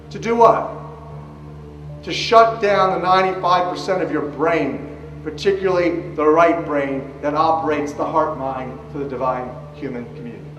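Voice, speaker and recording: A man giving an explanatory lecture in an emphatic way, with deliberate phrasing. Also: sits high. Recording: roomy, distant mic, music underneath. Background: music.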